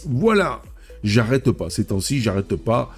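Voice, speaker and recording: A man's voice: medium pitch, very animated, unhurried, faint music behind. A man with a head cold hosting a tech video, reading a news script and adding chatty personal asides. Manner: reads then adds chatty personal remarks. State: stuffy with a cold.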